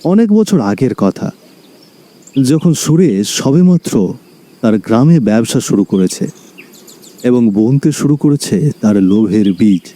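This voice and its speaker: A man's voice: mid-range voice, animated, measured pace, pauses often, warm tone. A man narrating a scripted cartoon story in a dramatic storytelling style.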